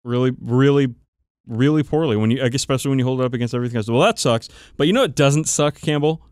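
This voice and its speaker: A man's voice: mid-range voice, fast talker, darkish tone, clear, resonant tone, no echo. Emphatic, profane man, dry close sound. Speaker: podcast co-host. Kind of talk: casual chat about tabletop wargaming.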